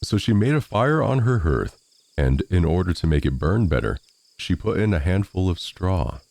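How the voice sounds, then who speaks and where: A man reading a scripted fairy tale as an expressive storyteller. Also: low-pitched, moderate pace, creaky, dry recording.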